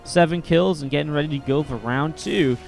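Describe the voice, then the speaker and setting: A man commenting play by play on a strategy video game match, a little sniffly from a cold, steady and then more excited in the fights. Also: a little high, fairly quick, vocal fry, soft background music. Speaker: esports caster.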